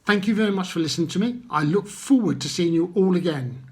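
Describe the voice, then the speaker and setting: A man telling stories to children and addressing them gently, with simple, unhurried phrasing and guiding questions. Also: high-pitched, animated, husky. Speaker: children's Bible storyteller.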